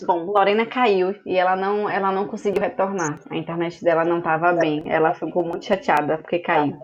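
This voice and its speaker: A woman's voice: on the low side, steady tone, a little fast. A woman hosting an online seminar, effusive and thankful, with plenty of fillers. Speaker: seminar host. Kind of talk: closing remarks in an online seminar. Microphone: video call. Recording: lo-fi video call audio.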